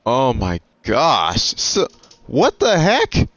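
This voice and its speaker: A man's voice: medium pitch, huge pitch swings, very slow, a bit bright, no echo. A man giving casual, exclamatory gameplay reactions, groping for words as he goes. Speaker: gamer playing through a story quest.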